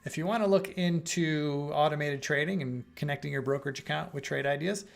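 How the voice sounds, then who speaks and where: A man's voice: on the high side, brisk, gravelly, faint music behind. A middle-aged man hosting a live trading stream, answering viewer questions in a casual, rambling way with fillers and restarts, losing his voice a bit. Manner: conversational, thinking aloud with fillers and restarts. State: losing his voice, clearing throat. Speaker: host of live trading room.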